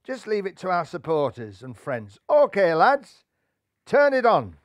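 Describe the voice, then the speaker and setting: A man voicing a brash, boastful tomcat fast bowler in a children's story, laid-back and cocky. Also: high voice, huge pitch swings, no room sound, clean studio sound, exaggerated voice. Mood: breezy, confident.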